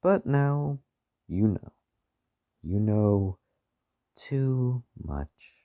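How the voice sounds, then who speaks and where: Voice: mid-range voice, animated, mellow. An amateur actor playing a smug, murderous rich man in a radio play, talking in a taunting, gloating way. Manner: slow, gloating, taunting, chuckling between phrases.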